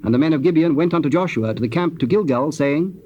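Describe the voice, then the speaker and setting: A man narrating a scripted, dramatized scripture reading in a solemn, measured manner. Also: fairly high pitch, warm tone. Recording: muffled old cassette, faint noise.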